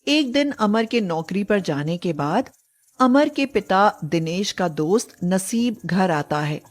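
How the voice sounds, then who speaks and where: A woman narrates a moral story from a script. She goes at a slow, measured pace in a middling female register, as a storyteller. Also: mid-range voice, lively intonation.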